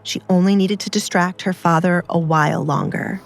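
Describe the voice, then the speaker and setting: A woman's voice: lowish voice, fairly even intonation, moderate pace, vocal fry, a little hiss or hum. A woman narrating an audiobook drama in a scripted storytelling style.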